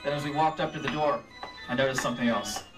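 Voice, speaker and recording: An actor playing a probing, skeptical insurance investigator in old-time radio detective style. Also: male voice, mid-range voice, fairly even intonation, fast talker, close to an everyday voice. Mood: suspicious narrating. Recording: distant stage mics, music behind.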